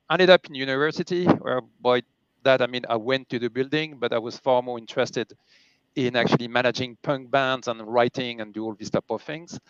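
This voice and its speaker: Smooth middle-aged Frenchman, slightly dull sound. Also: medium pitch, fairly bright tone, no room sound. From France and Germany. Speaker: interview guest, charity chief executive. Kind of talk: casual, self-deprecating chat.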